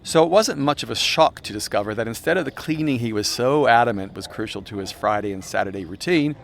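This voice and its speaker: A man's voice: medium pitch, expressive, fast, creaky, a little hiss or hum. A man reading his own self-help audiobook in a wry, irreverent, conversational narrating style, slipping into chatty asides. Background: music soundscape.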